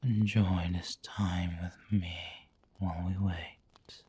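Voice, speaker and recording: A man playing a doting, refined vampire lord in an ASMR roleplay, speaking intimately in a breathy whisper with formal wording. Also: low voice, fairly even intonation, slow, mellow, full character voice. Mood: patient, hungry.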